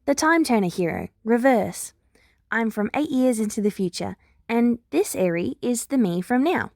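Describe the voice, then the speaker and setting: A woman's voice: a little high, lively intonation, moderate pace, a little mellow, no echo, studio quality, pitched above the performer's own voice. A woman voicing an earnest, wounded young heroine from the future in a story, speaking firmly and a little wryly. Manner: prompt, firm, wry.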